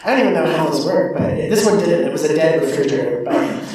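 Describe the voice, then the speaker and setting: Rambling male author, slightly high, echoey. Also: lively intonation, fast talker, darkish tone, a little hiss or hum. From Pacific Northwest, US. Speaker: author, panelist. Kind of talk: anecdotal panel introduction. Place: large convention room. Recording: echoey room, far from the mic.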